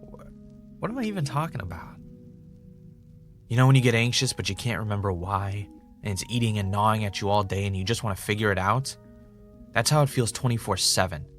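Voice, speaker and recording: A man plays an anxious, paranoid podcast host in an audio drama. His voice sits on the low side, halting and self-questioning, with a faint music bed behind it. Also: animated, fairly bright tone. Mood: anxious, confessional. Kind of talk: confessional audio drama monologue.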